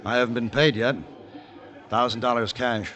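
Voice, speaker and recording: A man playing a suave, self-assured gentleman gunfighter in a 1950s radio western, with a smooth, courtly, dry delivery. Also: medium pitch, steady tone, moderate pace, lo-fi sound, close to an everyday voice. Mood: calm, firm. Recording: vintage radio drama recording.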